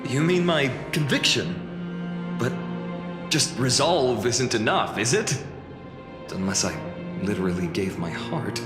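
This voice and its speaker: This is a friendly, determined young video game hero. He speaks slowly and expressively at a medium pitch. His voice rings with echo, over clear music. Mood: pensive. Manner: expressive, earnest. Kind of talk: video game story dialogue. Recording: reverberant hall with echo.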